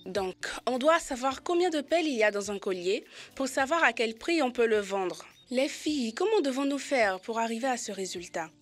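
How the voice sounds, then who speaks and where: A woman's voice: fairly high pitch, lively intonation, moderate pace, soft background music. A woman voicing an eager, playful little boy elephant in a French-dubbed children's cartoon, with an emphatic, exclaiming delivery. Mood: chatty, curious.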